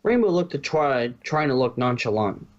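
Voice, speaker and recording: A man's voice: medium pitch, big pitch swings, normal speed. Man narrating a story, slightly muffled. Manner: stumbles over words and corrects himself. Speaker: narrator, fan fiction reader.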